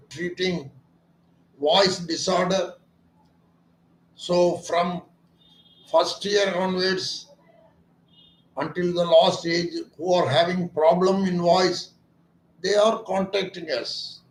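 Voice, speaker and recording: A man, an ENT doctor explaining his clinic's treatment in an earnest, emphatic way that often repeats its points as questions. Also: high-pitched. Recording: echoey room.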